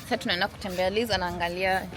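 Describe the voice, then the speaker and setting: A woman's voice: lowish voice, fairly even intonation, very fast, a bit bright. A young woman from Kenya chatting casually in a travel vlog, joking and laughing often, filmed on a phone. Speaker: vlogger. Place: beach outdoors. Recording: outdoor noise behind.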